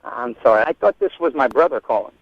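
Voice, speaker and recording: A man's voice: mid-range voice, animated, fast talker, no room sound. A man in an unscripted phone conversation over a dull, lo-fi line. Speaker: prank call target.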